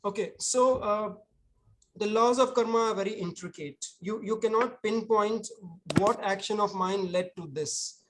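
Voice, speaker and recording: A man's voice: high-pitched, a little slow, background noise. A man, an author, answering audience questions at an online book talk, earnest and explanatory, often repeating words as he thinks.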